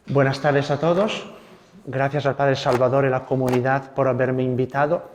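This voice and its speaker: A young man from Italy, a Carmelite friar with an Italian accent, giving a gentle spiritual talk and leading prayers. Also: medium pitch, in a large hall. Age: young adult. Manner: gentle, unhurried, adds tag questions.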